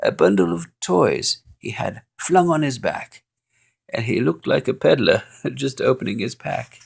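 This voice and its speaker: A man reads a holiday poem aloud, playful and expressive. His voice is gravelly and unhurried. His pitch rises and falls in lively swoops. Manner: expressive, playful reading with chuckles. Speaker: storyteller.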